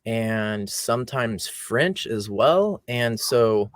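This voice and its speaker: Easygoing American male host, mid-pitched, dry uncolored audio. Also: drawn-out words, dry recording. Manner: relaxed, conversational, frequent ums. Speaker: podcast host. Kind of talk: casual interview.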